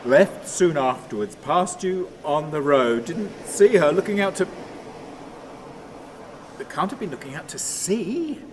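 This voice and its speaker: Actor as an anguished, volatile, high-voiced haunted man. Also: lively intonation, background noise. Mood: brooding, muttering. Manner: halting, volatile, erupting from muttering into cries. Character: anguished, haunted man pleading and brooding aloud. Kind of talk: radio play acting.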